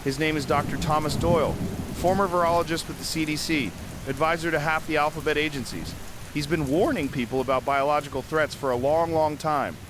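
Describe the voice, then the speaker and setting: An actor playing a weary, shaken survivor hosting an emergency radio broadcast in a drama, with plainspoken, informal, uneasy delivery. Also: male voice, a little high, a little fast, background noise, natural voice.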